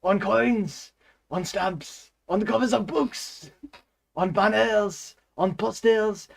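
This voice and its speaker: A man putting on an excitable comic Frenchman with a French accent, reading a novel aloud theatrically. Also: sits high, takes it slowly, gravelly, voice pushed up, heavily acted. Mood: playful, theatrical. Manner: theatrical, with interjected "huh", "eh" and "oui".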